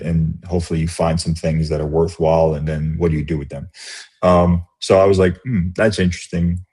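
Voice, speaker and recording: A middle-aged man, born in Romania and raised in the US, speaking as an interview guest in a reflective, halting way with many restarts. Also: low-pitched, mellow, slightly rough. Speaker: guest, business executive. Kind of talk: casual interview conversation.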